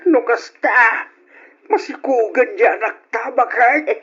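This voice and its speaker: A man's voice: extremely high pitch, slow, fairly bright tone, soft background music, exaggerated voice. A man with an Ilocano accent playing an eager older father in an old radio drama. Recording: dull lo-fi radio drama recording.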